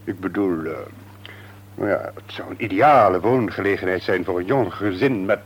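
An actor playing an enthusiastic, self-assured business owner in a Dutch radio drama. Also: male voice, low-pitched, normal speed, slightly rough. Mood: enthusiastic, proud.

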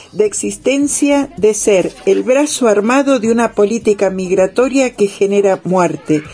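A woman's voice: medium pitch, dark tone. A woman radio host reading a prepared introduction and asking interview questions. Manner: reads a prepared script, then asks questions. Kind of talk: scripted news-style introduction.

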